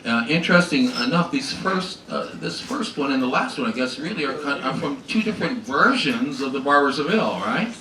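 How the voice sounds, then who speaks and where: A man's voice: medium pitch, expressive, brisk, few pauses. A man hosting a classical evening and introducing a guest singer, rambling and hesitant with many fillers. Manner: rambling, hesitant, warm banter. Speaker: host and pianist. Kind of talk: casual onstage introduction. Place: small salon performance venue. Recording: roomy, distant, noisy live room.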